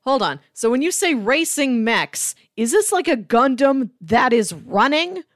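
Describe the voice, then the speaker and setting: A woman's voice: high voice, sing-song, measured pace, no echo, studio quality. A woman chatting casually about films as a podcast co-host. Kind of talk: casual film chat.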